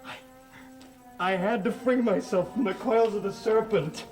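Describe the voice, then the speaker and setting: Loud music sits behind a haunted man in a gothic soap opera. His voice is fairly high for a man and slow. He halts and stammers as if confessing, gentle and weighed down by guilt. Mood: guilty, regretful.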